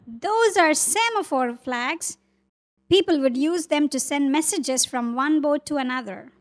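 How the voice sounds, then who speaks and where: A woman's voice: high-pitched, unhurried. A woman voicing an inquisitive, knowledgeable animal character in a children's puppet show.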